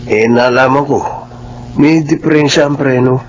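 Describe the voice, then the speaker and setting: An actor playing a loyal, gentle driver in a melodrama, speaking in a deferential, earnest and careful way. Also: male voice, medium pitch, steady tone, normal speed, close to an everyday voice. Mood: anxious, respectful. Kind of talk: Filipino melodrama dialogue. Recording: dull, noisy recording.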